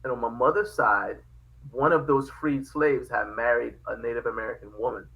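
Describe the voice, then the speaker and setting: A man from Liberia, a podcast guest telling his family history in a lively, casual way, often laughing. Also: medium pitch, normal speed, creaky, rough recording. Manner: animated, laughs often, quotes dialogue. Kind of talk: casual storytelling.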